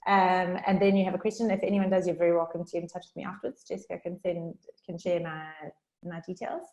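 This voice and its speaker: A woman with a South African accent giving a chatty workshop talk on a video call, talking fast and informally. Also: on the low side, steady tone, a little mellow, muffled recording. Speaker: workshop speaker. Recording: roomy echo.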